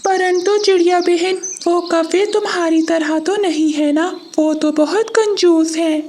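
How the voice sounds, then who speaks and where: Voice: extremely high pitch, fairly even intonation, unhurried, a little mellow. A performer voicing a small, earnest, worried male bird in a children's cartoon story. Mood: worried, doubtful. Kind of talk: animated children's moral story dialogue.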